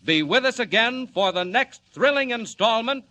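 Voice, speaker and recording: A man's voice: extremely high pitch, animated, moderate pace. A man narrating as announcer of a 1940s radio serial in a theatrical, declamatory style. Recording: hissy old radio recording.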